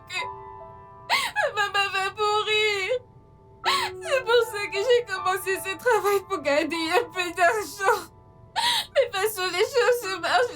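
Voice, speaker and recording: A woman plays a humble young household employee in a film melodrama. Her voice is high, and she speaks earnestly, with effusive gratitude and devotion. Faint music runs underneath. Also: lightly acted.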